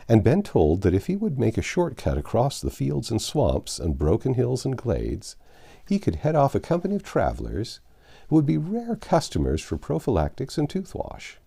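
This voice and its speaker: A man narrates a literary story aloud in a mellow, fairly low voice with a gravelly creak. His delivery is highly animated, with deliberate phrasing and drawn-out emphasis. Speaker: audiobook narrator. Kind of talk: expressive literary reading aloud.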